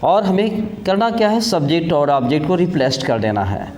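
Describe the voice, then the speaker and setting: A man's voice: fairly high pitch, big pitch swings, normal speed, darkish tone. A man with an Indian accent teaching a grammar lesson in an instructional way, repeating key points and mixing in English terms. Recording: echoey room with background noise.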